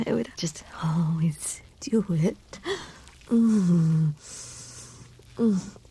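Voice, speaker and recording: A woman playing a shy, affectionate lamia, soft and hesitant, often near a whisper, in an intimate roleplay audio drama. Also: lowish voice, drawn-out words, slight background noise. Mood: cozy, nostalgic. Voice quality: breathy, often dropping to a whisper. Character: shy, cold lamia snake-woman, affectionate childhood friend.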